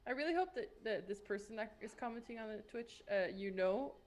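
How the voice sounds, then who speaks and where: Female player, high and lively voice, blunt and foul-mouthed. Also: a little fast. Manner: blunt and sweary. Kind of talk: casual banter at a game table.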